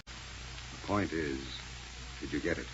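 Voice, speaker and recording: An actor playing a ruthless, impatient gang leader who snaps orders, in a 1930s radio serial style. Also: male voice, extremely low pitch, slow pace, fairly bright tone, exaggerated voice. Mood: brisk, commanding. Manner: curt, bossy, snapping orders. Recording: muffled, noisy 1930s radio recording.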